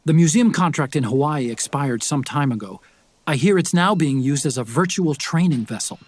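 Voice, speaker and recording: An actor playing an earnest tech specialist in a video game, explaining things to his partner in a slightly husky voice. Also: a little high, animated, normal speed. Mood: conversational. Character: earnest male tech-savvy support partner briefing an agent. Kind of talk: video game cutscene acting.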